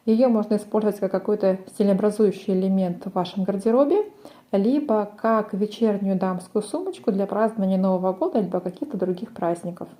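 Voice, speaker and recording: A woman's voice: mid-range voice, fairly quick, warm tone. A woman presenting a crochet tutorial, explaining each step in a methodical way. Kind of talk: step-by-step craft instruction.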